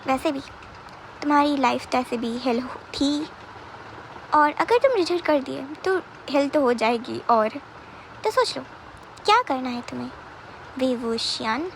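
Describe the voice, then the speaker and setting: Story villain woman acting: controlling man, cool and dismissive, high voice with noisy backing. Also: expressive, unhurried, gravelly, long pauses, full character voice. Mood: threatening, dismissive. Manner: taunting, unbothered, commanding. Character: smug, controlling male captor giving ultimatums.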